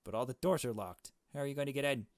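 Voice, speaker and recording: A man's voice: mid-range voice, fast talker, no echo, studio quality, voice pushed up, close to an everyday voice. Man voicing a hesitant, anxious young woman. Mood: doubtful. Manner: uncertain, hesitant. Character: anxious, hesitant young woman held captive, trusting friend.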